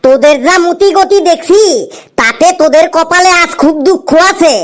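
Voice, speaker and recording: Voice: very high-pitched, normal speed. An actor voicing an irritable, bossy shopkeeper in a comedy cartoon, scolding and taunting with sarcasm. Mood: mocking, exasperated. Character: irritable bald shopkeeper who checks exam results, bossy and mocking.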